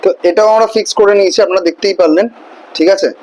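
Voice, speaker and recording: A man's voice: sits high, very fast, a little mellow, low-quality recording. A man giving a casual, hands-on vlog tutorial step by step, often checking in with the viewer. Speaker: vlogger demonstrating motorcycle gear.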